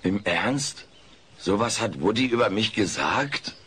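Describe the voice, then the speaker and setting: A wisecracking alien entertainer in a comic audio drama. He is vain and brash, with a fairly low voice that cuts through sharply. Every line comes out sarcastic and self-important. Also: lively intonation, a little slow, sharp tone, a little hiss or hum. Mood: indignant. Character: wisecracking male alien comedian, brash, vain washed-up star. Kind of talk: comic radio play acting.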